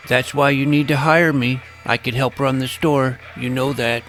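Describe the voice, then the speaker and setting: A man's voice: mid-range voice, fairly even intonation, normal speed, fairly bright tone. Man narrating an earnest audio drama over music. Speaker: narrator playing the story's young protagonist. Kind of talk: first-person scripted audio drama narration.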